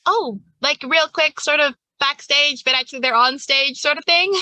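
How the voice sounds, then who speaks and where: A woman's voice: high voice, moderate pace, sharp tone, no echo. A woman running a tabletop game, improvising scenes in a casual, conversational way. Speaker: game master.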